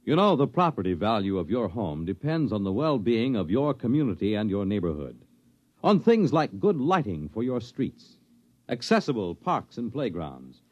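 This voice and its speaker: Animated male 1950s radio announcer. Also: mid-range voice, no room sound. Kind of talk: 1950s radio announcing, scripted public service message.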